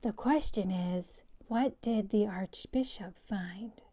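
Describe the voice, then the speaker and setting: A woman's voice: medium pitch, takes it slowly, warm tone. A woman voicing a blunt, down-to-earth storybook duck in a matter-of-fact way. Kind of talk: storybook character voice.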